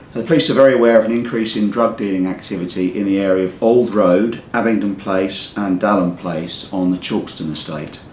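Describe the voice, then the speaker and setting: A hoarse man with a croaky voice from a cold, a local police commander giving an earnest off-the-cuff community update. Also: darkish tone. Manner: plain, earnest, repeats words while speaking off the cuff. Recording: echoey room with faint rumble.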